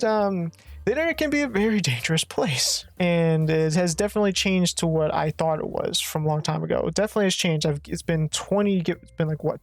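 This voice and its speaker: A young adult YouTuber with a slightly raspy voice, rambling through a casual, profane rant and often correcting themselves mid-sentence. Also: medium pitch, no room sound. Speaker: faceless gaming YouTuber.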